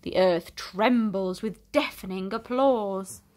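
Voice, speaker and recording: A woman reading a children's storybook aloud in an expressive, theatrical way with deliberate emphasis. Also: medium pitch. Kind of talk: dramatic storytime narration for young children.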